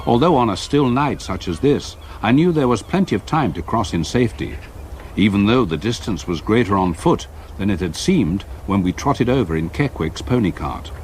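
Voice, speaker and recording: An actor playing an older English solicitor who narrates a ghost story in a formal, deliberate, literary manner. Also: male voice, fairly low pitch, animated, a little mellow, lightly acted. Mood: uneasy, reflective. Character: older English solicitor recalling a haunting, measured and brooding. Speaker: narrator in a radio drama. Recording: faint background ambience noise.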